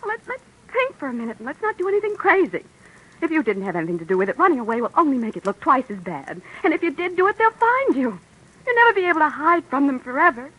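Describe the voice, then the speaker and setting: An actress playing a steady young wife who urges her panicked husband, in 1940s radio drama style, firm and soothing. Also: high-pitched, fast talker. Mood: urging. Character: steady young wife, calm under pressure. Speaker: wife and narrator. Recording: dull old radio recording.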